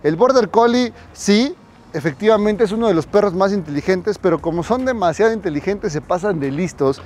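A man's voice: high-pitched, expressive, fairly quick, a little mellow, a little hiss or hum. A middle-aged man training a dog on a residential street, speaking directly to viewers in an instructive way, on a lapel mic as he walks. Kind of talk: outdoor training tutorial.

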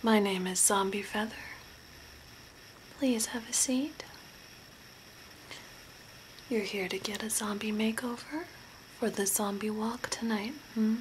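A woman voicing an eerie, deadpan female zombie makeover artist in a soft, breathy, mostly whispered ASMR role play, speaking slowly and deliberately. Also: medium pitch, lightly acted. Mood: eerie, courteous. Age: young adult. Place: small curtained room at home, indoors. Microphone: close to the camera. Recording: noisy amateur home recording.

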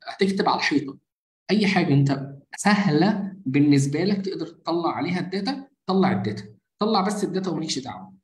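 A man's voice: a little high, reverberant, rough recording. A man with an Egyptian Arabic accent, a marketing mentor coaching step by step in a live online session and posing rhetorical questions.

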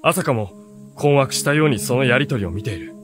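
An actor playing an earnest, sharp-witted teenage boy who is the hero and narrator of a radio drama. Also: medium pitch, expressive, fairly quick, music in the background, close to an everyday voice. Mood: reflective. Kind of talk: radio drama acting with first-person narration.

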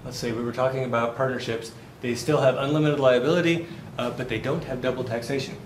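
A man's voice: medium pitch, steady tone, fast. A man in his fifties giving a live university finance lecture, chatty, asking the class questions and answering them himself. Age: 50s. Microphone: far camera, no visible mic. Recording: roomy classroom, faint background noise.

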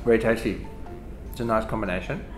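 Australian man, low relaxed voice. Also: fast. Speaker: company CEO tasting food. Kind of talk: casual on-camera reactions. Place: indoor studio set at a table. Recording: roomy studio with music.

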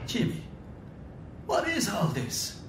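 A nervy, high-pitched Irish sidekick. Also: male voice, slow pace, vocal fry, heavily acted. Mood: incredulous, excited. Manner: excitable, doubting, questioning. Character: nervy, incredulous male detective's sidekick. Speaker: detective's sidekick. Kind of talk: radio drama acting. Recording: noisy rumble, roomy echo.

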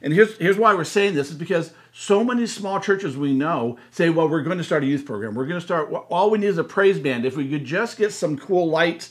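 A man's voice: on the high side, brisk, hardly any pauses. A man in his sixties with an American accent, explaining things conversationally and emphatically to a co-host and camera, often repeating phrases for stress. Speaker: church consultant and co-host. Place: indoor room, seated beside co-host.

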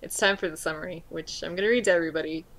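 A woman's voice: lowish voice, steady tone, rapid-fire, a bit bright, rough recording. A woman co-hosting a casual podcast, reading a chapter summary with wry, sarcastic asides. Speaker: podcast co-host.

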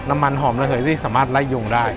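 A man's voice: mid-range voice, fairly even intonation, very fast, music in the background. A man chatting and explaining things casually as a vlog co-host.

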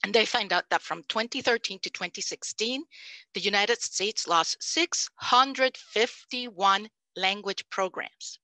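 A Puerto Rican woman in her fifties, a native Spanish speaker, giving an animated, emphatic panel talk over a headset microphone on a video call. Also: mid-range voice, measured pace, no echo, low-quality recording. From Puerto Rico. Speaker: panelist, language professor. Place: home room, indoors. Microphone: headset mic.

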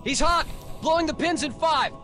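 A man playing a brisk starship technician in a video game, calling out procedures in a raised voice. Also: very high-pitched, sharp tone, faint music behind. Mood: brisk, alert. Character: brisk male cryo-bay technician.

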